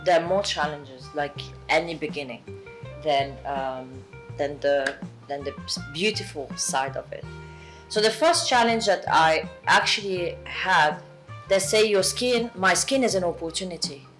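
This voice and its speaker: A woman from England with a Southwest English accent, a guest actress speaking earnestly in a casual chat, often halting and restarting. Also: low-pitched, a bit bright, soft background music.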